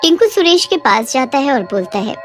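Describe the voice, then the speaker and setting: A woman's voice: sits high, sing-song, normal speed, faint music behind. A woman narrating a scripted cartoon horror bedtime story.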